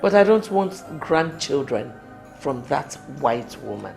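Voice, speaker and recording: A woman's voice: extremely low pitch, sing-song, a little slow, gravelly, heavily acted. An actress playing a stern royal mother who disapproves of her son's marriage. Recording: music underneath.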